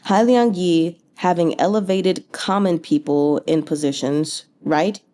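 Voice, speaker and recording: A woman's voice: fairly low pitch, unhurried, warm tone. A woman giving casual, rambling book commentary as a channel host, thinking aloud in an informal, chatty way with slangy asides.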